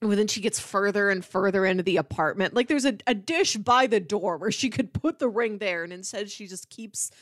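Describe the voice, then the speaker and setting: A woman's voice: mid-range voice, fairly quick, hardly any pauses, no room sound, clean studio sound. A woman co-hosting a podcast, chatting casually and conversationally about film.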